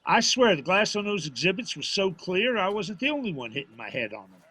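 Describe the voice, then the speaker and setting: A man's voice: high voice, sing-song, fairly quick, pushed and bright, breathy edge, full character voice, poor audio. An actor playing a stubborn, proud, plainspoken old father from a small town, folksy and prickly, in an audio drama. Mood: wry, prickly. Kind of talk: naturalistic audio drama acting at a live reading. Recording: faint noise of live stage performance.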